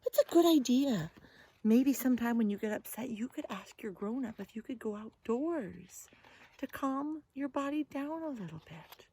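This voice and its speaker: Expressive woman reading to young children, swooping pitch. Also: moderate pace, husky, poor audio. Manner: gentle, sing-song, exaggerated expression for preschoolers. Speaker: early learning teacher reading a picture book aloud. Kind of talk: interactive read-aloud for young children.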